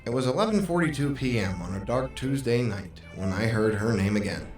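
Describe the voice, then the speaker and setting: A man's voice: medium pitch, lively intonation, fairly quick, a little mellow, lightly acted. A man playing a hard-boiled, world-weary 1940s private investigator in a noir radio drama, with a wry, brooding manner. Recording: roomy, distant microphone, music underneath.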